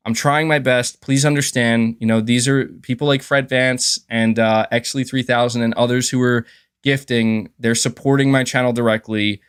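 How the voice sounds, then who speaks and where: A man's voice: medium pitch, steady tone, moderate pace, clean tone. A man hosting a livestream in casual, chatty commentary, who often chuckles and says "dude" and "man". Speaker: livestream host.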